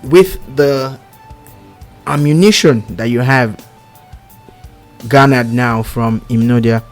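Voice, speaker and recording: A male radio host speaks slowly, drawing out his questions. He encourages his guests and laughs along. Faint music runs beneath. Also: medium pitch. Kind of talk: radio interview.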